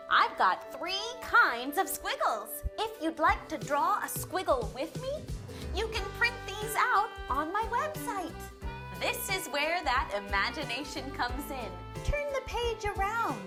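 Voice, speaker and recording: A woman hosting a children's show, giving simple, encouraging instructions in an expressive way. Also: high-pitched. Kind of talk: instructional kids' show presenting.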